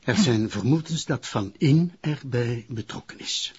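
Public prosecutor, Flemish accent, raspy voice, hesitant, formal, awkward, TV crime drama, dull sound. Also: male voice, medium pitch, lively intonation, darkish tone. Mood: reluctant. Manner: hesitant, halting. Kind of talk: naturalistic television crime drama acting.

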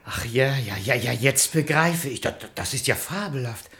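An actor in a 1960s German radio comedy playing a naive, polite citizen who earnestly defers to officials. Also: male voice, mid-range voice, lively intonation, normal speed, a bit bright. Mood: eager, naive. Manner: deferential, earnest, eager to agree. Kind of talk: 1960s German comic radio play acting.

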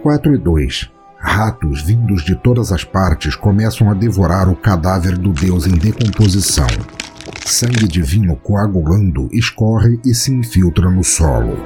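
A man with a Brazilian Portuguese accent narrates a scripted horror story in a measured, solemn, dramatic way. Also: deep voice, animated, music in the background. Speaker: podcast narrator.

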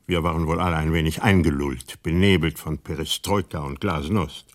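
An actor playing a world-weary former embassy security chief, narrating a radio drama in a measured, wry manner. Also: male voice, very deep voice, steady tone. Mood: grave recounting.

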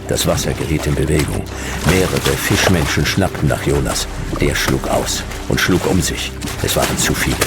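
A world-weary male detective in a noir radio play. His voice is extremely low, his delivery slow and terse in short clipped phrases, with music clearly behind him. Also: heavily acted.

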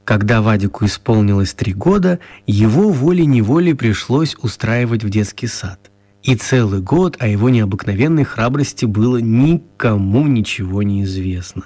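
Husky male storyteller of children's tales. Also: on the low side, warm tone. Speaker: narrator. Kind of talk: scripted storytelling narration for a children's radio play.

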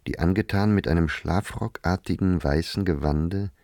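A man's voice: low voice, moderate pace, warm tone, no room sound, clean studio sound. A man narrating a literary radio drama.

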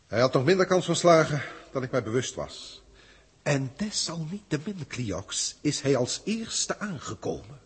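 An actor in a 1960s radio drama playing an old, emotional alien proctor, speaking theatrically in formal, archaic phrases with a husky voice. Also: male voice, fairly high pitch, big pitch swings, measured pace, heavily acted. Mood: troubled, remorseful. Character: old emotional alien senior proctor, male teacher, wry. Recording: reverberant 1960s radio studio recording.

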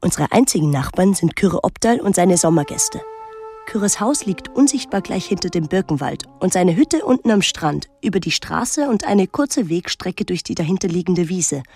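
An actress playing an observant young island woman, narrating a literary radio drama in a measured, lyrical way. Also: fairly low pitch, animated, warm tone. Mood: reflective. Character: observant, reflective young island woman recalling her life. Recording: music under the voice. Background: music.

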